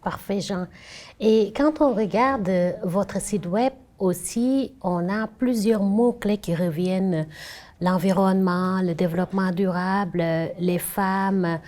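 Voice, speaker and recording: A woman's voice: medium pitch, takes it slowly, a little mellow. A woman with a Quebec French accent, a professor hosting an interview, welcoming with frequent fillers.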